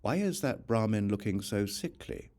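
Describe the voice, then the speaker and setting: Man reading as a calm, deep-voiced spiritual teacher. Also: moderate pace. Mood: calm, instructive. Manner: solemn, instructive. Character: calm, authoritative male spiritual teacher of monks.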